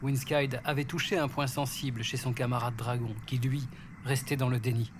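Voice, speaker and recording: A man's voice: medium pitch, little pitch movement, normal speed, noisy background. A man narrating a scripted fantasy story.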